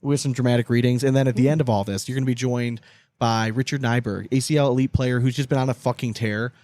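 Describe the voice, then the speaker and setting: A man's voice: medium pitch, steady tone, quick pace, darkish tone, no echo. An American man co-hosting a casual sports podcast, chatting informally with loose, profane banter. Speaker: podcast co-host.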